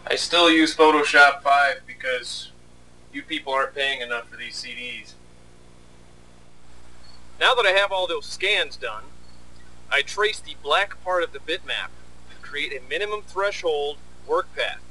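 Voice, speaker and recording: A man's voice: a little high, a little slow, bright, forceful tone. A man casually narrating behind the scenes as an animator, with a sarcastic, mock-complaining manner. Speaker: animator and video host. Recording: distant mic, faint background noise.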